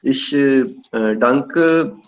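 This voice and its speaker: A man from India speaking learner German in a hesitant, halting way, with many fillers, sharing his views in a casual online club talk. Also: mid-range voice. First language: Indian language.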